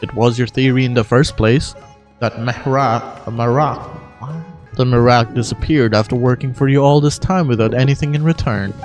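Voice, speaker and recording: A man voicing a calm, dry-witted young scholar from a fantasy game, deadpan and measured. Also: mid-range voice, soft background music, voice pushed down, lightly acted. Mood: cool, analytical.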